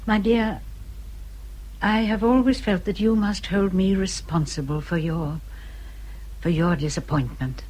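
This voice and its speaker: A woman's voice: medium pitch, measured pace, pauses often, a little mellow, natural voice, low-quality recording. An actress playing a fond, opinionated middle-aged English lady in a radio period drama, refined, effusive and emphatic. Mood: sympathetic.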